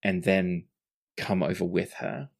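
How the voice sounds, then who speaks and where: A game master improvising narration for a tabletop role-playing game in an animated storytelling manner. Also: low voice, measured pace, creaky, slightly rough.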